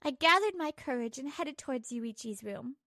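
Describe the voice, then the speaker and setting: A woman's voice: high-pitched, animated, normal speed, sharp tone, dry recording, studio quality, exaggerated voice. A woman playing a hurt, betrayed young wife who narrates her troubles emotively in a manga dub. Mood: sad. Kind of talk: dramatised first-person manga dub narration.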